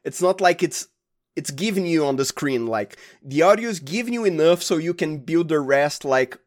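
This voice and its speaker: Higher-voiced Brazilian man chatting. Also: lively intonation. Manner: enthusiastic, halting, searching for words. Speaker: interview guest, audio drama sound designer. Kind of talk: casual interview chat.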